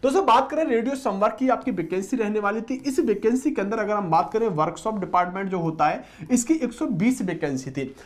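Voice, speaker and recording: A man speaking as an exam coaching teacher, explaining informally to students and often addressing them as friends. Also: sits high. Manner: repeatedly addresses listeners as friends.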